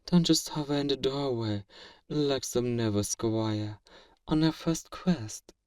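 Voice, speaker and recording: A man playing a feared fantasy villain in a flirtatious audio roleplay, measured, amused and condescendingly courteous, in an intimate ASMR acting style. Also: mid-range voice, darkish tone, clear, resonant tone, clean studio sound, natural voice. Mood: smug, welcoming. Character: feared male fantasy villain, suave, teasing, flirtatiously obsessive. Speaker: voice actor performing a roleplay. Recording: roomy echo, distant from the mic.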